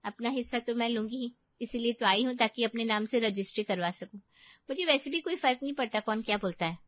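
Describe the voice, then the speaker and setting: A woman's voice: fairly high pitch, fast talker, no echo, highs cut off, lightly acted. A woman playing a self-assured, crafty married sister in a story, with an assertive, unbothered manner. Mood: firm.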